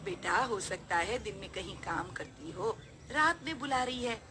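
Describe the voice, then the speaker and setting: A woman's voice: medium pitch, expressive, a little slow, noisy background, close to an everyday voice. Woman voicing patient, encouraging middle-aged mother. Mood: reassuring. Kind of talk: acted dialogue in a cartoon story.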